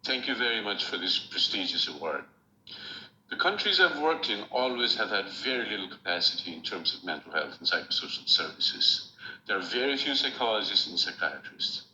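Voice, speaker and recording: A man's voice: lowish voice, sing-song, sharp tone. Nepali accent man, formal thank-you speech, roomy dull recording w/ faint hiss. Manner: measured and formal, grateful. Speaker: award recipient giving an acceptance speech. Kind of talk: prepared acceptance speech. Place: room at home, recorded remotely.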